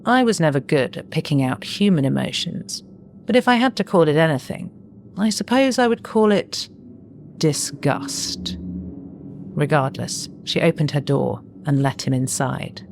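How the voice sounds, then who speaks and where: A woman voicing a contented, naive scavenging monster who narrates a horror audio story in a measured, expressive way. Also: sits low, vocal fry, a little hiss or hum, exaggerated voice. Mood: curious, puzzled. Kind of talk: scripted first-person horror audio story.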